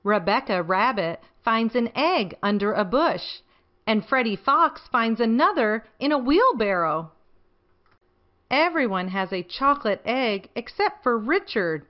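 A middle-aged woman hosting for young children, reading stories in an animated way and asking the listener questions. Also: fairly high pitch, a little slow, roomy sound, far from the mic, lo-fi sound. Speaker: children's show host and storyteller. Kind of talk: children's storybook reading and chat to young viewers.

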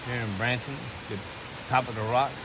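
A man's voice: mid-range voice, steady tone, normal speed. A man casually narrating a tour he films on a handheld camera, outdoors and then inside a cave, often exclaiming in wonder. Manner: easygoing, keeps exclaiming wow. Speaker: tourist filming a tour. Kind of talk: casual vlog commentary. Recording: loud hiss and waterfall noise.

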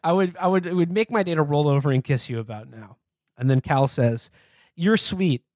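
Higher-voiced American man, wry podcast host. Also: moderate pace, a little mellow, dry recording, clean studio sound. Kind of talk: casual comedic commentary, reading messages aloud.

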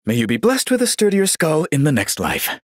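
A man voicing a genial, vain old warrior monk in a video game, speaking in a measured, courtly and wryly pious manner. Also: on the high side, wildly swooping pitch, slightly rough, no echo, clean studio sound, exaggerated voice. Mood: calm, courteous. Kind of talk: scripted game character voice lines.

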